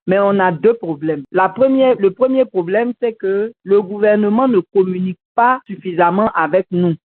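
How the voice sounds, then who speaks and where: A woman with an Ivorian French accent hosting a civic radio show over a video call, speaking in an earnest, critical, measured way. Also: medium pitch. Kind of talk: commentary and answering callers. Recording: dry, tinny video-call audio.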